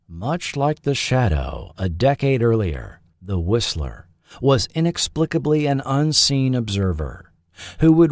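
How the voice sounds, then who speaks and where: Measured male documentary narrator, expressive pitch. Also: dry recording, clean studio sound. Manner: dramatic, deliberate storytelling. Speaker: host and narrator.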